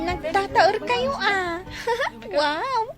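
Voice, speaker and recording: Very high-pitched, chirpy schoolgirl. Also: expressive, over loud music, exaggerated voice. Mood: playful, teasing. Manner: giggly, playful, drawn-out exclamations. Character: chirpy, teasing grade-six schoolgirl. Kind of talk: Ilocano radio drama acting.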